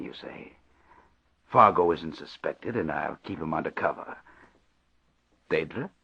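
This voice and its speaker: Crooked doctor for radio serial: very low, husky, sardonic, coolly plotting. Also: male voice, a bit bright, full character voice. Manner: sardonic, deliberate. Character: scheming corrupt male doctor, coolly smug. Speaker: radio actor. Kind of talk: old-time radio drama acting. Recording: muffled old radio recording.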